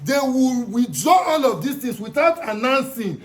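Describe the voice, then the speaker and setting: A man with a Nigerian accent makes his case in an interview, insistent and persuasive. His voice sits high for a man. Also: very animated, normal speed. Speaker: protester interviewed. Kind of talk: street interview.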